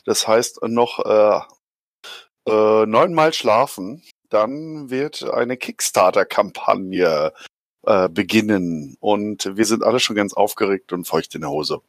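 A man with a hoarse voice chatting casually on a podcast, excited and joking as he rambles. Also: lowish voice, takes it slowly, dry recording, clean studio sound. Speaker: podcast co-host. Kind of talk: enthusiastic casual chat.

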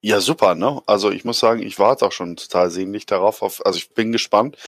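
A man with a hoarse voice chatting casually on a podcast, excited and joking as he rambles. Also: lowish voice, brisk, no echo, clean studio sound. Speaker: podcast co-host. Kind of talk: enthusiastic casual chat.

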